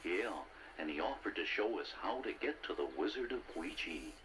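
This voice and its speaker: A man's voice: sits low, animated, normal speed, very noisy. A man voicing an eager, naive young sidekick creature in a children's read-along story, with a wide-eyed, exclaiming manner. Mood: eager narrating. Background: music.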